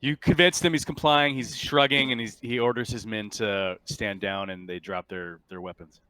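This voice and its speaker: A man's voice: on the low side, fairly even intonation, moderate pace, bright, forceful tone, smooth, no echo, low-quality recording. A man working as game master, giving rulings and narration casually, often hesitating and repeating words.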